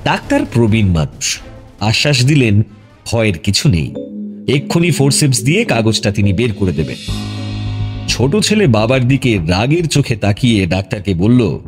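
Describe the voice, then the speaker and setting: A man narrating a scripted audio story in an expressive storytelling style. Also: lowish voice, moderate pace, music in the background.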